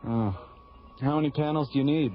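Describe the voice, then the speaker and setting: An actor playing a friendly space station traffic controller who chats casually over the radio. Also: male voice, mid-range voice, a little fast, dull audio, natural voice. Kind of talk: 1980s radio drama acting.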